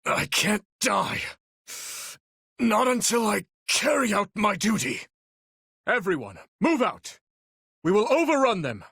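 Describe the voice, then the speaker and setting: A man's voice: high voice, big pitch swings, vocal fry, pushed and bright, breathy edge, no room sound, studio quality, exaggerated voice. A man voicing a noble, earnest young knight-commander in a video game, speaking formally and solemnly with resolve. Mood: rallying, urgent. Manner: formal, measured, earnest delivery. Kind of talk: dramatic video game voice acting.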